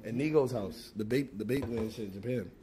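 A young man talking in a loose, rambling way, drawn-out and amused, in casual slang. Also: medium pitch. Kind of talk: casual chat. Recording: echoey room with background noise.

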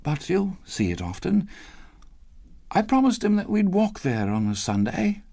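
A man's voice: sits high, very animated, a little slow, creaky, warm tone, dry recording, clean studio sound, pitched above the performer's own voice, exaggerated voice. A man voicing a kindly, humble father with fragile cheer over his grief in a storybook reading. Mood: fond, wistful.

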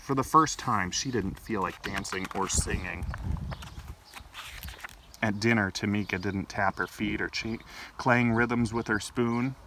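A man's voice: on the low side, unhurried. A middle-aged man reading a picture book expressively to children as a storytime host, recorded outdoors. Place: outdoors in a garden. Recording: distant mic, faint outdoor noise.

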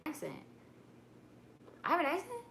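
A woman's voice: very high voice, huge pitch swings, moderate pace, gravelly, a bit bright, a little hiss or hum. A young woman chatting casually on a livestream from her bedroom, slangy, chatty, swearing freely. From Dominican heritage. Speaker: vlogger on a livestream. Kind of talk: casual livestream chat, reading out viewer comments. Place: bedroom at night, wrapped in a blanket. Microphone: webcam or phone at arm's length.

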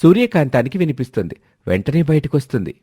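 A man's voice: medium pitch, very animated, fast, dark tone, slightly rough, no room sound, studio quality. A man narrating a scripted family moral story.

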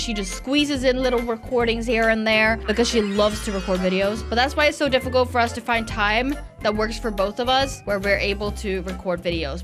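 A young woman hosting a playful gaming chat, reading out and answering comments in an exaggerated, theatrical way, recorded close on a desk mic. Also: mid-range voice. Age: young adult. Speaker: gaming YouTuber, host. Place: small indoor room set up for streaming. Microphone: close desk microphone with headphones. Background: music.

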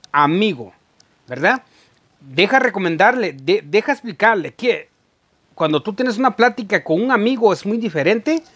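A middle-aged man, chatting casually to camera in a halting, repetitive way as a vlogger. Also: high-pitched, a bit bright. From Guatemala. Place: indoor room at home.

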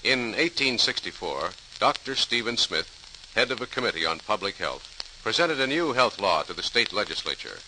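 A man delivers formal, dramatic narration in 1940s radio style. His voice is a little low, very bright and forceful, with animated pitch. It is an old recording with some faint noise. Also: normal speed, poor audio.

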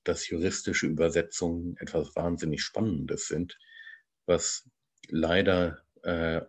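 Bilingual guy on a video call, low voice, explains things slowly, lots of little pauses. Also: breathy edge. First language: bilingual German and English. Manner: measured, explanatory, with frequent hesitations. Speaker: translator giving a talk. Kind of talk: online lecture over slides.

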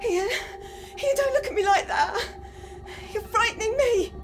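A woman's voice: very high voice, lively intonation, unhurried, noisy background. An actress playing a frightened but defiant girlfriend in a modern radio drama, insistent and tearful.

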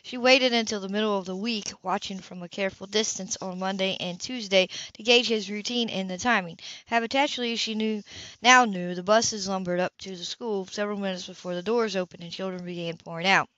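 A woman's voice: medium pitch, fairly bright tone, no room sound. A woman reading a novel aloud as an amateur narrator, now and then stumbling and correcting a misread word. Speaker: amateur audiobook reader. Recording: dull, lo-fi home recording.

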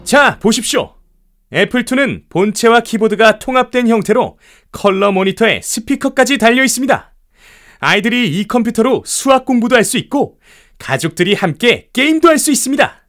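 An ambitious, high-voiced young inventor. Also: male voice, expressive, normal speed, fairly bright tone. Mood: proud presenting. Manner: earnest, emphatic, theatrical. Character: ambitious, confident young male inventor and entrepreneur. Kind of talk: children's audio storybook acting.

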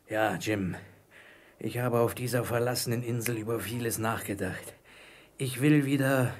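An actor playing a marooned, repentant old pirate in a German radio play, wary and eager, with a husky, creaky voice and a theatrical, confiding manner. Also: male voice, mid-range voice, a little hiss or hum, close to an everyday voice.

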